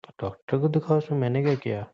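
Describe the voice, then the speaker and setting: A young man playing an obtuse, teasing boyfriend in a staged phone-call argument, deadpan and feigning innocence, on a dull call recording. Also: mid-range voice, fast, natural voice.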